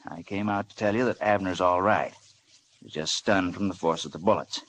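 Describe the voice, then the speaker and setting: Low-voiced folksy country doctor. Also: male voice, moderate pace, a bit bright. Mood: evasive, defensive. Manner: folksy, chatty, rural idiom. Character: chuckling, folksy small-town doctor wary of meddling. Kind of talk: 1940s radio drama acting. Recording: old radio recording, faint noise.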